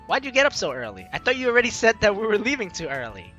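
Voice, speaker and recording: A man's voice: sits high, wildly swooping pitch, quick pace, sharp tone, highs cut off. A young man playfully reading a visual novel aloud and commenting on it as a let's play host, with game music behind him. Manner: playful, theatrical, jokey reactions.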